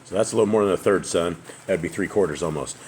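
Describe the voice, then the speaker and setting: Deep booming man leading scripture reading. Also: a little hiss or hum. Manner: loud booming voice, reads aloud with pauses. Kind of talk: casual group Bible study. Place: house open to the outside, noisy roof. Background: faint outdoor ambience.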